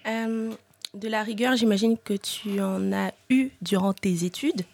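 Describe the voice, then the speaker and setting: A woman speaks as a podcast interviewer. Her voice sits at an average pitch for a woman, and she presents and questions in a conversational way. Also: fairly even intonation, a little slow, vocal fry, a little mellow.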